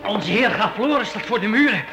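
An actor playing an urgent, obedient castle servant in a historical radio drama. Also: male voice, sits high, lively intonation, brisk, music in the background.

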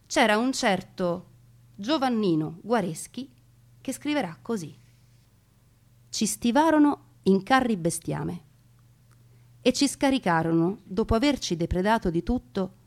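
A woman giving a theatrical, scripted narration of wartime memoirs in a solemn, dramatic manner, with deliberate, emphatic phrasing. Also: medium pitch, a little mellow. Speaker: narrator and actress reading memoirs. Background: music.

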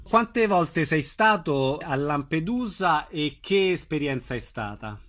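Bright-voiced male radio interviewer. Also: on the high side, lively intonation, slow. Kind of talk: radio interview, short prompting questions.

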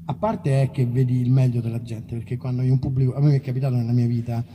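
Rambling musician's casual stage banter. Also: male voice, mid-range voice, flat delivery, quick pace, dark tone, slight background noise. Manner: rambling, self-deprecating, colloquial with swearing. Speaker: musician performing live. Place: live outdoor festival concert.

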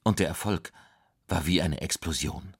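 A man's voice: low-pitched, moderate pace, gravelly, breathy edge, dry recording, clean studio sound, heavily acted. An actor playing a solemn writer reading his weighty recollections of a friend in a radio documentary drama. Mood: solemn, emphatic.

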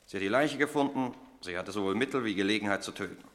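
An actor playing a self-assured, blunt stepson of a murder victim in a 1960s German radio play, arguing assertively. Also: male voice, lowish voice, fast, fairly bright tone, natural voice.

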